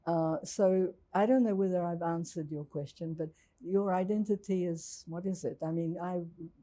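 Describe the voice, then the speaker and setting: A woman's voice: fairly low pitch, steady tone, moderate pace, mellow. A woman in her eighties with a New Zealand accent, speaking candidly and thoughtfully as an interviewee before a university audience. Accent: New Zealand English. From Czechoslovakia. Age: 80s. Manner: reflective and candid, with restarts. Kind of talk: recalled testimony in a public interview.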